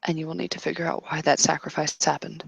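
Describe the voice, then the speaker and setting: Woman acting as cryptic female mentor, lowish voice, measured, solemn, gently insistent, dry recording, tabletop roleplay. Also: flat delivery, creaky, breathy edge, dry recording, full character voice.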